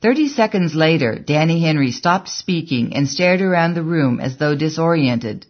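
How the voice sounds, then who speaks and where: An audiobook narrator reading a story in a measured, even way, on a dull, lo-fi recording. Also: medium pitch. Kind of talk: scripted narration.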